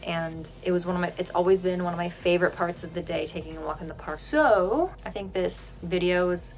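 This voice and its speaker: A woman's voice: lowish voice, brisk. Rambling young American woman vlogging. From United States. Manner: rambling, lots of "like" and restarts. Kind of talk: casual vlog talk to camera. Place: indoors, a rented home room.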